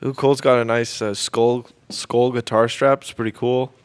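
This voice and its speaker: A man's voice: medium pitch, little pitch movement, moderate pace, clear, resonant tone, dry recording. Casual, playful male radio host. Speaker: host of a live radio theater show. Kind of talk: casual chat. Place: radio studio.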